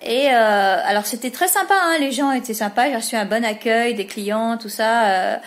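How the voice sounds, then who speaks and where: A woman, chatting casually and hesitantly in a rambling home vlog, recorded on a phone in a dining room. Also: on the high side. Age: late 40s. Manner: rambling, hesitant, many pauses on "euh". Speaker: vlogger.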